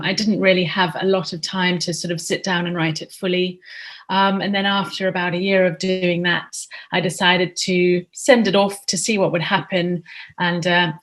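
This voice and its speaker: A woman's voice: lowish voice, a bit bright. A woman in her thirties from Zimbabwe, an author answering interview questions in a chatty way with many ums.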